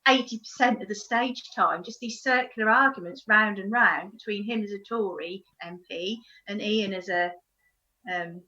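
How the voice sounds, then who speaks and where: A woman telling a stage anecdote in an animated way, acting out moments as she goes, on a video call. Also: mid-range voice, normal speed, dull audio. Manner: animated storytelling, acts out moments. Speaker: actor.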